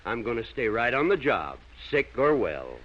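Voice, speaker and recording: A man acting in an old-time radio drama as a loyal, devout small-town working man with a folksy manner and a husky voice. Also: mid-range voice, big pitch swings, normal speed. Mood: proud, earnest. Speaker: radio actor. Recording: dull, lo-fi vintage radio recording.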